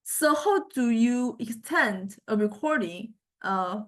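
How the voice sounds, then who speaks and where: A woman lecturer giving an informal software demonstration, speaking haltingly and often repeating her words as she explains. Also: fairly high pitch, animated. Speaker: music technology lecturer.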